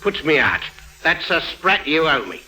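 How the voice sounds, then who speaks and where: An actor playing a big, tough but amiable Cockney guard in a chummy, slangy way, in a 1950s radio drama. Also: male voice, fairly high pitch, sing-song, unhurried, sharp tone, heavily acted, rough recording. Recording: hissy old 1950s radio recording.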